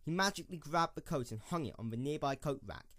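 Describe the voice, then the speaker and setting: A man's voice: a little high, animated, quick pace, fairly bright tone. A man narrating a scripted dramatic story as the narrator of an audio drama.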